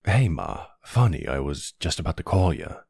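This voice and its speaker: A man playing a charming middle-aged mafia boss, suave and flirtatious, with a gravelly, husky voice, in an intimate ASMR roleplay. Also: a bass voice, wildly swooping pitch, normal speed, a little mellow, no room sound, clean studio sound, heavily acted. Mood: fond, exasperated. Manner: suave, courteous, teasing, uses pet names.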